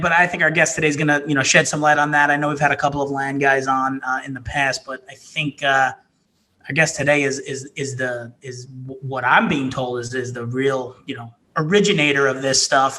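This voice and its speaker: A man's voice: medium pitch, fairly even intonation, a little fast, sharp tone. A man co-hosting a podcast, chatting loosely and hesitantly, with many fillers and restarts. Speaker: podcast co-host. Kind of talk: casual podcast chat.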